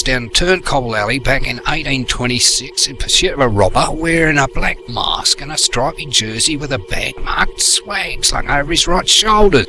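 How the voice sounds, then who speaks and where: An actor playing a pompous, bumbling, long-winded police inspector in a comedy audio drama. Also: male voice, medium pitch, noisy background, close to an everyday voice.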